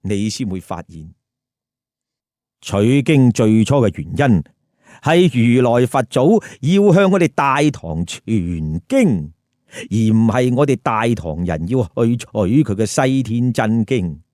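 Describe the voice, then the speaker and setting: A man's voice: mid-range voice, big pitch swings, slow, a little mellow, no echo, studio quality. A man narrating a humorous story with commentary in a theatrical, colloquial, rhetorical way. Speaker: storyteller and host.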